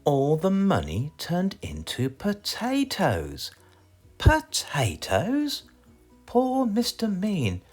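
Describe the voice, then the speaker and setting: A man's voice: high voice, wildly swooping pitch, extremely slow, faint music behind. A man with a British accent reading a children's story aloud as a storyteller.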